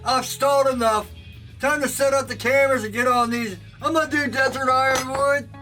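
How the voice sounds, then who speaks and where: Loud, high-voiced man projecting in a workshop. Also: fairly quick, recorded from a distance, soft background music, rough recording. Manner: loud, projecting, excitable, laughs often. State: sore throat. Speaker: knifemaker and channel host. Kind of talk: casual talk to camera. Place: home workshop.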